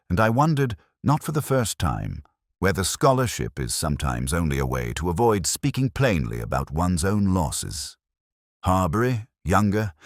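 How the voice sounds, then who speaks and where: A man voicing a brooding, literate police inspector who recounts a case in measured, reflective and formal scripted narration. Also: extremely low pitch, no echo, clean studio sound, full character voice. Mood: wry, watchful. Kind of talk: scripted first-person detective audio drama narration.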